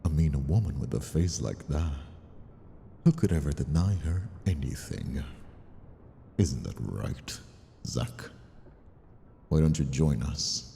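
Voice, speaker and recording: An actor playing a menacing, condescending mobster villain in an audio roleplay, taunting with a drawl. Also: male voice, a bass voice, lively intonation, takes it slowly, gravelly, pauses often, dark tone, a little hiss or hum, exaggerated voice. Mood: mocking. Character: menacing, mocking ambitious mobster villain, adult man. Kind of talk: dramatic audio roleplay acting.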